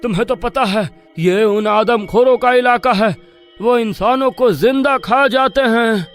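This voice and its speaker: A man playing a frightened, whining villager in a horror comedy story, with panicky, drawn-out exclamations. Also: very high voice, a bit bright, soft background music.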